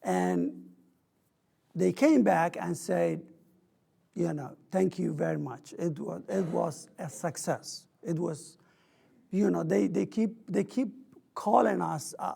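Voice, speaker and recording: A man's voice: a little high, animated, unhurried, creaky, dark tone, breathy edge. A man on a public panel, explaining his outreach work earnestly, with examples and questions. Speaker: panelist from a community outreach program.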